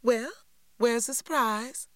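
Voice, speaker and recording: A reader voicing a proud, bossy teenage girl, talking down in a prim, high voice. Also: takes it slowly, a bit bright, no room sound, clean studio sound. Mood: haughty.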